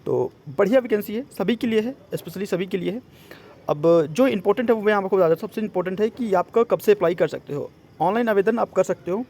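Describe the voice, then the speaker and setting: A man's voice: high voice, brisk, warm tone. A man gives an informal explainer, mixing in English words and often checking that listeners follow. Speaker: exam coaching presenter. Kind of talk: informal explainer of a job notice.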